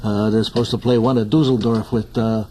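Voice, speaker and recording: A man's voice: on the low side, level tone, a little fast, dark tone, a little hiss or hum. A man hosting a radio show, chatting casually with guests in a jovial, teasing way and laughing often. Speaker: radio host. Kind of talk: casual on-air chat. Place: radio studio.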